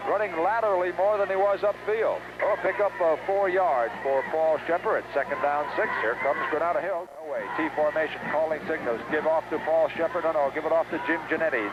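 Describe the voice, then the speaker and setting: A man doing live, excitable play-by-play football commentary on an old radio broadcast, urgent on the big plays. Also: on the high side. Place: outdoor stadium, game broadcast. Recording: noisy muffled 1970s radio broadcast.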